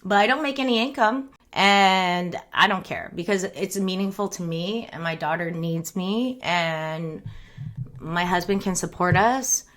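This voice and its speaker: A woman's voice: on the low side, a little slow, fairly bright tone. Candid, laughing American woman streamer. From United States. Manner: rambling, candid, laughs often. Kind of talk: casual chat.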